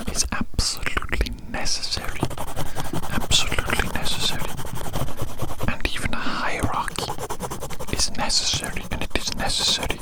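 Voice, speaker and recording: This is an ASMR performer speaking entirely in a breathy whisper, very close to the microphone. The delivery rambles along, circling back to repeat phrases and addressing the listener as a friend. Soft scratching sounds sit behind the voice.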